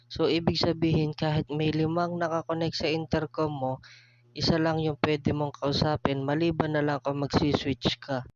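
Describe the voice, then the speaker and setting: A man's voice: fairly high pitch, level tone, normal speed, no room sound. A man casually walking viewers through a motorcycle intercom, explaining each step and calling them guys. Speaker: product reviewer. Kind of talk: casual product demo. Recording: dull lo-fi sound with hum.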